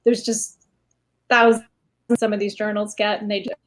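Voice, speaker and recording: A woman's voice: mid-range voice, level tone, normal speed. A woman speaking as a guest writer and teacher in a casual interview, candid and anecdotal, acting out quotes as she goes. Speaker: guest short story writer and writing teacher.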